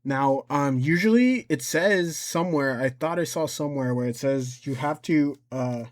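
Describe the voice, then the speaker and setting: A man giving an informal step-by-step software tutorial, thinking aloud and somewhat hesitant as he goes. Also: a little high, moderate pace. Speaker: tutorial host.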